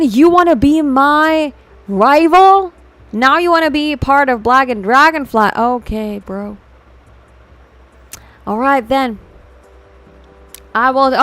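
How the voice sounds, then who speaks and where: A woman's voice: high-pitched, slow, long pauses, a bit bright. A woman with an Indian accent hosting a casual livestream, chatting informally with viewers and slipping between English and Hindi. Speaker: VTuber livestream host. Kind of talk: casual livestream chat reacting to fan art and viewers.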